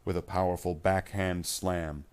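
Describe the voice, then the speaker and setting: A man reading scripted dramatic fiction as a narrator, with measured and deliberate phrasing. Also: sits low, flat delivery, clear, resonant tone.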